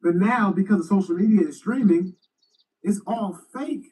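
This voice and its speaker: A man's voice: high-pitched, moderate pace, a little mellow, recorded from a distance, low-quality recording. A man giving loose, slangy commentary as a YouTuber, often repeating himself as he thinks. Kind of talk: casual commentary.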